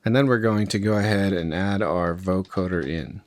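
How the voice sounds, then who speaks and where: A man's voice: low-pitched, moderate pace, no room sound. A man presenting a music production tutorial in a casual, conversational, step-by-step way. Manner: informal, conversational instruction.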